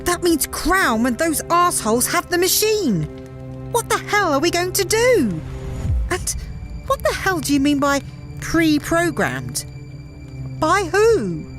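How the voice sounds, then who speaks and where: A woman's voice: very high voice, very animated, takes it slowly, soft background music, exaggerated voice. A woman playing a tough, exasperated captain in a sci-fi audio drama, sarcastic and emphatic. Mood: angry, alarmed. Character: tough, sweary, exasperated spaceship captain heroine.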